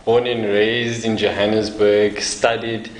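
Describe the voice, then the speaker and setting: A young man from South Africa, an evangelist guest, talking casually in an interview, often laughing softly and restarting his sentences. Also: on the low side, level tone, a little slow. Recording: echoey room with faint noise.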